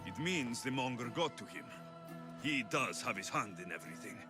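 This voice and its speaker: An actor voicing a confident, protective mercenary in a video game, firm and direct. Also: male voice, mid-range voice, animated, moderate pace, natural voice. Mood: grave. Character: confident Greek mercenary man, protective, blunt, calm. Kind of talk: video game cutscene acting. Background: background music.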